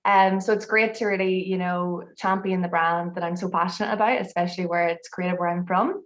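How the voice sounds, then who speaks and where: A woman's voice: fairly low pitch, fairly even intonation, fairly quick. Irish woman, brand ambassador, friendly and chatty, muffled call audio. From Ireland. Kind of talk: virtual tasting masterclass, friendly presenting.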